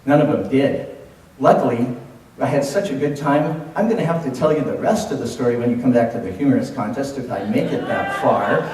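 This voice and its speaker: A man of fifty with a Californian American accent tells a humorous story as a contest speech, theatrically, with dramatic pauses and emphasis. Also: medium pitch, darkish tone. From Los Angeles, United States. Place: indoor room at a speech contest, audience present. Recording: echoey room from far off, faint noise.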